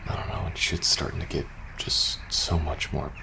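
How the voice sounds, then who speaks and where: Very deep-voiced weary soldier, acted by a man. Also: level tone, vocal fry, noisy background, heavily acted. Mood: troubled, suspicious. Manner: hesitant, halting, casual swearing, sighing. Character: weary, uneasy male soldier confiding in best friend, post-apocalypse. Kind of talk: ASMR audio roleplay acting.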